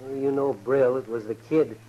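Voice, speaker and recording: An actor playing a leering, rough-spoken outlaw henchman in a 1950s radio western, curt and callous. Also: medium pitch, fairly even intonation, normal speed, mellow, lightly acted. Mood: callous, offhand. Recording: muffled, faintly noisy 1950s radio recording.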